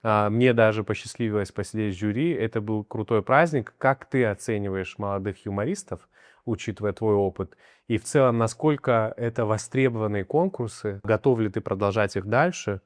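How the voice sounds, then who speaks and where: A man's voice: on the low side, brisk, no echo, clean studio sound. A man with an Abkhaz accent hosting an interview, asking long friendly questions. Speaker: interview host.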